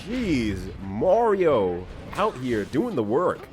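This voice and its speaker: A man giving lively, jokey game commentary with drawn-out exclamations and casual chat. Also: mid-range voice, over music. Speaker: play-by-play commentator and player.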